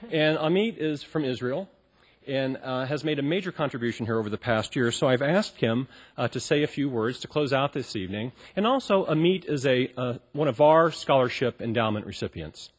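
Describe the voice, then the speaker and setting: A man's voice: medium pitch, a little fast, slightly rough. A man moderating a public panel and introducing a speaker, talking loosely with many ums and restarts. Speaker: event moderator. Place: college auditorium stage.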